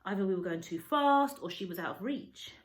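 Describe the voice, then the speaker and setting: A woman teacher reading a children's storybook aloud with an expressive, dramatic storytelling delivery. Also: medium pitch, a little fast, echoey room. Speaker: schoolteacher.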